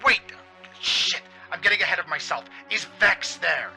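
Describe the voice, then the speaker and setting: A man voicing an irritated, foul-mouthed, urgent caller in a tabletop roleplay, sharp and creaky, with an impatient manner. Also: sits high, lively intonation, normal speed, soft background music, exaggerated voice. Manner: impatient, sighing, swearing.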